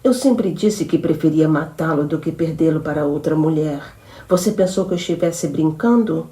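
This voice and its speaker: A woman's voice: low voice, moderate pace, mellow, close to an everyday voice. A woman reader voicing a jealous young woman who shoots her lover and then falls into hysterics. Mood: cold threatening. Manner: menacing then frantic and sobbing.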